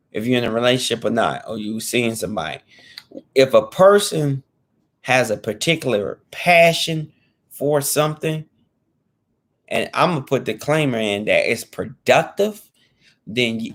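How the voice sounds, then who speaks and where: A man's voice: mid-range voice, a bit bright. Earnest man in his thirties, casual. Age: 30s. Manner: earnest and repetitive, restating points with informal slang. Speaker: fitness vlogger. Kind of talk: casual talk to camera.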